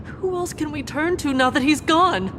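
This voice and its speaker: An actress playing an anxious, doubting warrior in a video game. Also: female voice, high-pitched, moderate pace, a bit bright, slight background noise. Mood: worried.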